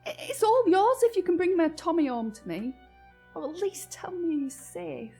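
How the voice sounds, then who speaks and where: A worried village mother, humble and working-class, pleads in a high-pitched voice. It is old radio melodrama, with music in the recording. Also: animated, normal speed, creaky, dark tone, heavily acted. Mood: pleading. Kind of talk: old-time radio drama acting.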